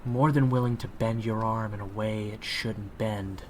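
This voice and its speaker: An actor playing a wry, level-headed member of a crew in an audio drama. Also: male voice, lowish voice, steady tone, moderate pace, slight background noise, natural voice. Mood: firm.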